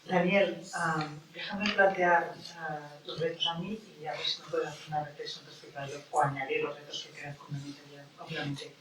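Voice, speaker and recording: A woman moderating a panel discussion, speaking in a measured, thoughtful way with tag questions. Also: sits low, little pitch movement, a crowd in the background. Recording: echoey room from a distance with noise.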